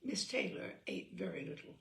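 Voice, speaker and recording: A woman host reading a humorous literary essay aloud with theatrical, deliberate, carefully phrased delivery. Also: low voice, huge pitch swings, vocal fry. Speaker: host and reader. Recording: roomy echo, distant microphone.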